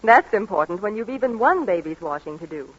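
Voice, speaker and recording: A woman's voice: mid-range voice, animated, brisk, breathy edge. A woman presenting a scripted commercial on 1940s radio. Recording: muffled 1940s radio broadcast.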